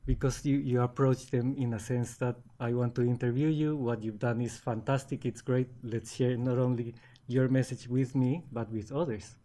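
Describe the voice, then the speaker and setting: A man's voice: medium pitch, fairly even intonation, normal speed, warm tone. A man in his forties from Colombia with a Colombian Spanish accent, a guest in a casual interview who often restarts his words. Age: 40s.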